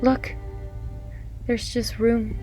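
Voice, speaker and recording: Woman playing slow, wistful sick young mother. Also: a little high, level tone, over music. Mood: wistful, hopeful. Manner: wistful, tender, trusting. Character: frail, sick young mother longing for her child. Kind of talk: radio drama acting.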